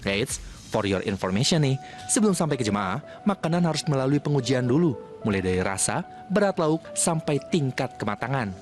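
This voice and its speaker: A man's voice: medium pitch, very animated, a little fast, soft background music. A man narrating a scripted news feature with casual slang and a chatty, playful delivery.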